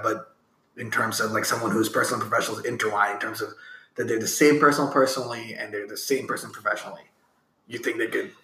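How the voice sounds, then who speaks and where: A man's voice: on the low side, a bit bright. A man hosting a casual interview, asking long, loosely worded, rambling questions. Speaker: interviewer, host. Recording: echoey room.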